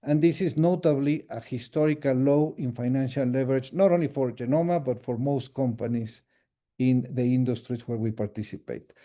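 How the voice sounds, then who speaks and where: A man presenting financial results as a company executive on a formal earnings call, measured and explanatory, stressing his key points. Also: medium pitch, dark tone.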